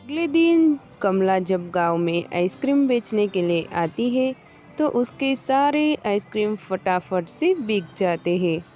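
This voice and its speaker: Slow-paced woman storyteller. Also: medium pitch, lively intonation, mellow, soft background music. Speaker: narrator. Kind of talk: scripted children's moral-story narration.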